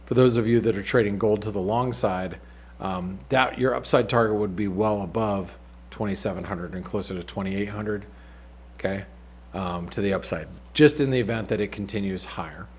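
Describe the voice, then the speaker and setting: A man's voice: fairly low pitch, normal speed, a little mellow. A man hosting a weekly market outlook, talking casually over charts with many fillers, restarts and wry asides. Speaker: market analyst host.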